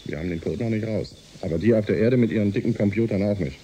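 A man's voice: lowish voice, quick pace, warm tone, a little hiss or hum, natural voice. An actor in a German radio drama playing a dutiful spaceship engineer reporting to his captain in clipped phrases. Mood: businesslike. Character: uneasy spaceship engineer and navigation officer, subordinate.

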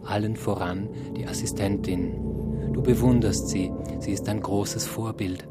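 A man's voice: lowish voice, fairly even intonation, measured pace. A man performing radio play narration, speaking to the listener in short, descriptive present-tense phrases. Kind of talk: second-person storytelling in a radio drama. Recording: loud music under the voice. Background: loud music.

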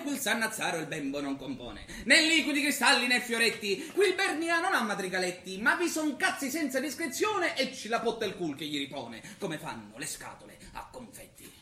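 An actor plays a tender young lover of poetry in a stage play. His voice is bright and very varied in pitch, moving between declamation and gentle hesitance in an echoey theatre. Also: very animated, brisk, hardly any pauses, heavily acted. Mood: declamatory. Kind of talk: live stage play acting.

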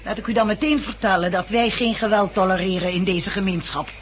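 A woman's voice: medium pitch, fairly even intonation, brisk, close to an everyday voice. An actress in a 1960s radio drama playing a stern, principled woman in charge of a survivors' community, curt and prim. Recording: noisy old 1960s radio recording.

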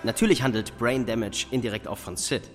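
Male role, acted: brisk bandmate, quick delivery, reverberant, music beneath. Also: mid-range voice, lightly acted. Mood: explaining. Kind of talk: German radio play acting. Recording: long reverb, music behind.

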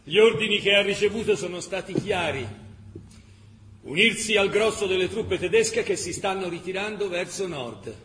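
An actor playing a proud, stubborn fascist officer in a radio drama, speaking in a declamatory, emphatic way. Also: male voice, high-pitched, animated, normal speed, a bit bright, echoey room, long reverb tail, slight background noise. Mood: resolute. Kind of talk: radio theatre acting and storytelling.

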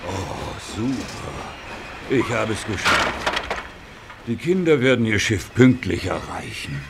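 A man's voice: on the low side, very animated, takes it slowly, gravelly, voice pushed down, full character voice. A man voicing a proud, stately big male express steam engine who sounds unbothered. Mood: smug. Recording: loud hiss, old audio play recording.

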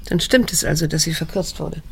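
An actress in a radio drama playing a middle-aged daughter, restrained and quietly repelled, measured and insistent as she questions and narrates, in a husky voice. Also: low voice, heavily acted. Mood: insistent, cool.

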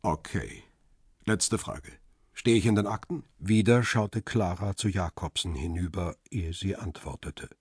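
An actor playing a wry, stubborn photographer who narrates and speaks in a crime radio drama, with a dry, confrontational manner and a husky, rough voice. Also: male voice, low voice, a little slow, no room sound, heavily acted. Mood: dry, guarded.

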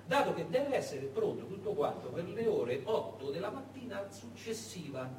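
A man narrating a story on stage in a dramatic, theatrical way, with deliberate pauses. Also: sits high, big pitch swings. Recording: echoey room, far mic, faint noise.

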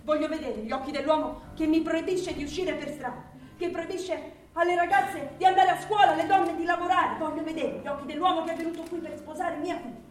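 A woman's voice: very high-pitched, fairly even intonation, fairly quick. An actress playing a defiant Afghan mother in a stage drama, speaking in an emphatic, challenging way. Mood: indignant. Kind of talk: theatrical stage drama acting. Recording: echoey distant stage recording with music.

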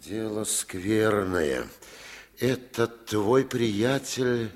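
An old village underground leader in a vintage radio drama, stern and decisive. He speaks slowly and weightily, with pitch that swings widely from low murmurs to firm commands. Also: expressive. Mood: stern, brooding. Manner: deliberate, weighty, drawn-out phrasing. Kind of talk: 1950s radio play acting.

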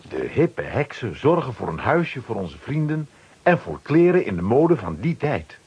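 Male narrator for children's audio drama: breathy rough tone, extremely varied intonation. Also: mid-range voice, very animated, measured pace, darkish tone, highs cut off. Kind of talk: scripted storytelling for children's radio play.

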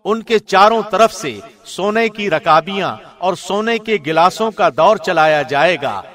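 A man reads a scripture translation formally, with the measured diction of a radio presenter. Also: high-pitched, lively intonation, fairly bright tone. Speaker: translation reader, radio host.

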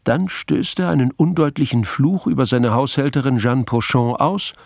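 A man narrating a mystery radio play in a measured, composed, literary storytelling manner. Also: medium pitch, gravelly, a little mellow, no echo, clean studio sound, close to an everyday voice.

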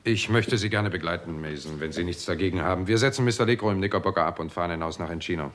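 Low-voiced, wry police inspector. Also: male voice, fast talker, a bit bright. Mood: businesslike. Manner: dry, musing, sardonic. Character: wry, shrewd male police inspector in Hollywood. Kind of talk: 1960s German radio crime drama acting. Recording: noisy 1960s radio drama recording.

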